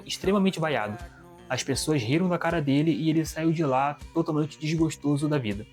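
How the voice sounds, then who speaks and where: A man's voice: fairly high pitch, a little mellow. Chatty Brazilian man reviewing a comic. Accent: Brazilian Portuguese. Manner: chatty, restarts sentences, shows pages to camera. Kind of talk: casual video review.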